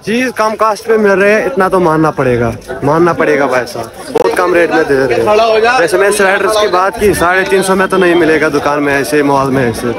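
A man's voice: high-pitched, animated, fairly quick. Chatty young man in a noisy market. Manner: chatty, pointing out prices to viewers. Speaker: vlogger. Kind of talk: walking market tour vlog. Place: crowded outdoor street market. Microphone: handheld camera at arm's length. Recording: loud crowd noise around. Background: loud market crowd.

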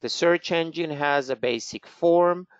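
Slow-spoken man with a Venezuelan accent. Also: on the high side, no echo. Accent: Venezuelan Spanish. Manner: slow, careful, with many "uh" fillers. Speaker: genealogy expert hosting a webinar. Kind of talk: live webinar with screen-share walkthrough. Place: office in Israel. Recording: dull, slightly static home mic.

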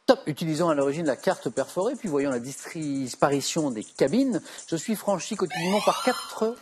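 A middle-aged man hosting a TV quiz show, reading clues briskly and giving quick verdicts. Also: fairly high pitch, animated, slightly rough. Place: large TV studio set.

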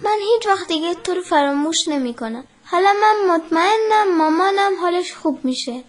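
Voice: very high-pitched, expressive. An actress voicing a poor, tearful little girl with a shaky voice. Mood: grateful.

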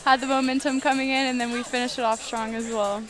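A woman's voice: on the high side, flat delivery, quick pace, faint music behind. A young woman college volleyball player answering interview questions eagerly, with many fillers. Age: young adult. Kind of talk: postgame interview. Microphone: headset mic.

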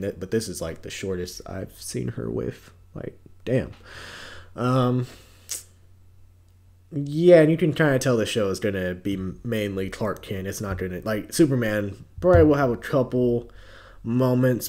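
A man's voice: lowish voice, darkish tone. Laid-back young American man. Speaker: YouTube host reviewing entertainment news. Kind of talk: casual commentary to camera.

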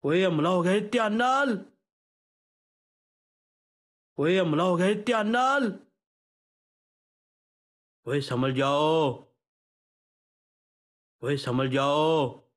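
A man voicing an excitable comic village soldier with a Punjabi accent, calling out short exclamatory squad commands for a game. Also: a little high, drawn-out words, pauses often. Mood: urgent. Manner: exclamatory, starts calls with "oye".

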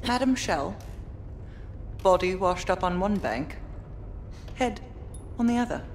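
An actress playing a poised, sardonic British intelligence agent in a video game, articulate and measured, with a dry manner. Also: female voice, on the low side, a little hiss or hum. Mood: wry. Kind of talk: scripted video game cutscene acting.

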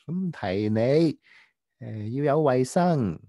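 A man voicing a prim, well-behaved young boy who gently lectures a friend in a children's radio drama, halting and patient. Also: medium pitch, lively intonation, no room sound, studio quality. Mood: earnest, helpful.

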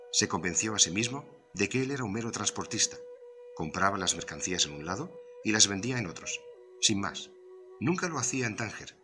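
A man reading scripted narration as the storyteller of a radio drama serial. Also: deep voice.

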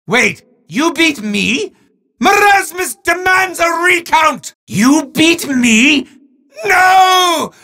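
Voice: high voice, exaggerated voice. A voice actor playing a petty, hammy villainous sorcerer who wagers for souls, announcing game events in a melodramatic, bombastic way. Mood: sore loser. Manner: melodramatic, bombastic shouting with sweeping swoops.

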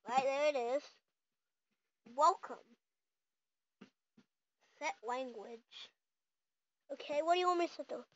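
A casual, excitable host chatting through a home unboxing, quick to argue and interrupt. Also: high-pitched. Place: small room at home, indoors. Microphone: handheld camera or phone. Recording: noisy, dull handheld camera audio.

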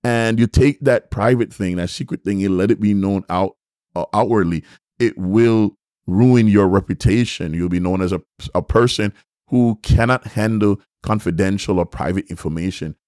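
A middle-aged man giving sermon-like Bible teaching, speaking deliberately and repeating his points for emphasis, close to a microphone. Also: lowish voice, darkish tone, dry recording. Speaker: bishop and host of a church teaching show. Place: small furnished room, indoors. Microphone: microphone on a stand, close in front.

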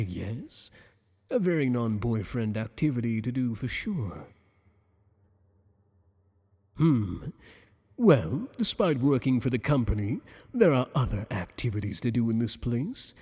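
A man's voice: mid-range voice, mellow, breathy edge, in a large hall, exaggerated voice. A man voicing a teasing, possessive monster boss. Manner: teasing, flirtatious, slow and intimate. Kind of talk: ASMR roleplay.

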